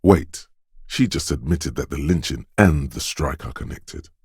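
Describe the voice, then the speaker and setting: An extremely deep voice plays a detective's quick-witted instinct in a narrative video game. It is deliberate and watchful, addressing the listener with dramatic weight. The sound is very dry, with no room around it. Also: male voice, lively intonation, darkish tone, breathy edge, dry recording, heavily acted. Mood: urgent hunch. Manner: deliberate, alert, dramatic second-person narration. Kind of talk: scripted game narration, voice of an inner thought.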